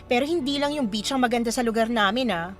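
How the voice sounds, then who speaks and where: An actress as a young woman in a radio romance drama, with a high voice and a coy, teasing manner. She speaks expressively, like a shy girl with a crush, over faint background music. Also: quick pace, close to an everyday voice. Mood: playful, friendly. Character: young single woman shyly smitten with a travel acquaintance.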